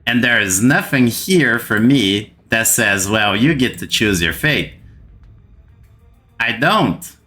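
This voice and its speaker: A Brazilian man with a bright, forceful edge to his lowish voice, who speaks English as a second language. He rants slowly and with exasperation to his gaming channel viewers and hammers words by repeating them. Faint music plays underneath. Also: expressive. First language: Portuguese. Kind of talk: frustrated gaming rant to camera.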